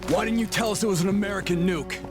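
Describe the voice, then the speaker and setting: An actor playing a bitter, confrontational covert operative with a pointed delivery in a cinematic military game. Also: male voice, high voice, fast talker, over music, natural voice. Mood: bitter, accusing.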